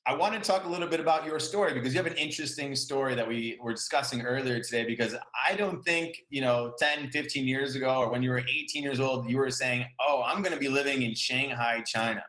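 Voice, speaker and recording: A man hosting a podcast interview in a relaxed, conversational way, with hesitant fillers. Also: mid-range voice, quick pace, hardly any pauses. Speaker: podcast host. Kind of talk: casual interview. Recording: echoey room.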